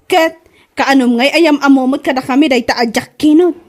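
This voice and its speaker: A woman's voice: high voice, moderate pace, lightly acted. A woman playing a mocking, incredulous female cousin in a comedy drama. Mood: mocking, amused.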